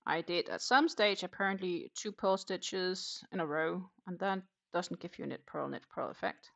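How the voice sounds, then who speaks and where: A woman hosting a casual craft livestream, chatting haltingly and muttering to herself in a self-deprecating way. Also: on the low side, creaky. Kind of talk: casual livestream chat while knitting.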